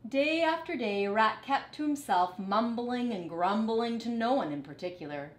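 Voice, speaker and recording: Woman, storyteller, animated pitch, deliberate, children's read-aloud, roomy indoor echo. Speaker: storyteller, teacher. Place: living room, indoors on a couch.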